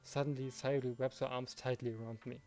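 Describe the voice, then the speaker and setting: A man hosts a let's play, reading story narration and chatting casually about it. His voice is mellow and medium in pitch, with faint music behind him. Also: flat delivery, fairly quick. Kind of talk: reading visual novel narration, casual commentary.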